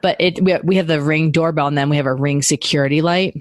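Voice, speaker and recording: A woman's voice: deep voice, fairly even intonation, quick pace, no room sound, studio quality. Chatty podcast co-host, a woman. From United States. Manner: chatty, laughs often, trails off mid-sentence. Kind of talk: casual chat.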